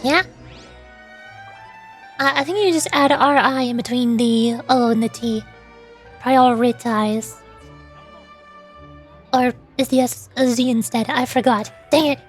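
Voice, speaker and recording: A woman with a high voice streams a video game. She chats casually and playfully as she reacts and explains, with faint music underneath. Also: fairly even intonation, measured pace, pauses often. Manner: playful, chatty. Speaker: VTuber streamer. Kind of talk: casual live game commentary.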